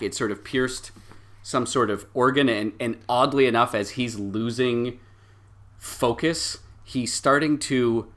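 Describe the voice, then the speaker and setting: A man narrating an improvised tabletop game as game master, halting and backtracking mid-sentence. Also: medium pitch, a bit bright.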